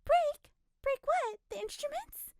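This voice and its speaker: A woman voicing a prying, haughty lady who fires off incredulous questions in a shrill, very high voice. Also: big pitch swings, brisk, no echo, studio quality.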